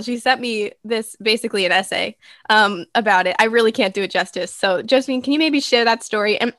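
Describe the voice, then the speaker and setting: A woman's voice: fairly high pitch, a bit bright, no echo, clean studio sound. A young adult woman, a college student, hosting a podcast in a reflective, thoughtful way with a few ums.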